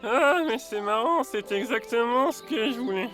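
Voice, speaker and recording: An actor with a French accent playing a bumbling, know-it-all wilderness guide who blusters confidently in a cartoon. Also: male voice, very high voice, moderate pace. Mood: feigned confidence. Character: bumbling, boastful male wilderness guide, self-assured. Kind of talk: animated series voice acting. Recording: music behind the voice.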